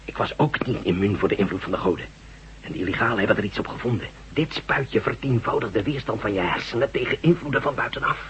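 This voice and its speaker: An actor playing a badly wounded Russian space official in a radio drama, speaking haltingly and short of breath as he pleads urgently. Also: fairly low pitch, lively intonation, a bit bright, rough recording. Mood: pleading, urgent. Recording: radio recording with faint noise.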